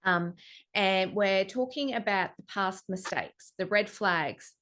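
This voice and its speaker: A woman's voice: mid-range voice, slow, fairly bright tone, slight background noise. A woman, hosting a relationship interview over a video call and asking curious questions. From Australia. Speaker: podcast host. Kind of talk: interview host.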